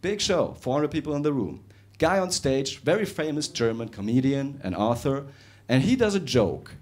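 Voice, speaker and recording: Man, young adult, stand-up comic, animated delivery, varied pitch, club set. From Russia. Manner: animated, conversational, jumps between fast and drawn-out delivery. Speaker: stand-up comedian. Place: comedy club with live audience.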